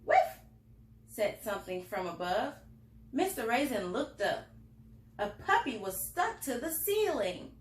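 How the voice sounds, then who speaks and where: A woman with a high-pitched, very lively voice reads a children's picture book aloud. She is expressive and pauses for small asides to young listeners. The sound is roomy and echoing. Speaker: library storytime reader.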